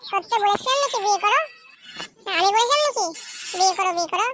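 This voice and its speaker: A performer voicing a defiant, nagging wife in a comic cartoon, snappy and shrill. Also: fast, over music. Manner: snappy, shrill back-talk. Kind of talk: amateur comic cartoon dubbing. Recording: clearly noisy background.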